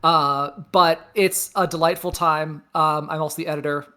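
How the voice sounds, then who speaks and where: Low-voiced woman, casual podcast host. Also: steady tone, normal speed, pushed and bright, smooth. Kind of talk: casual self-introduction.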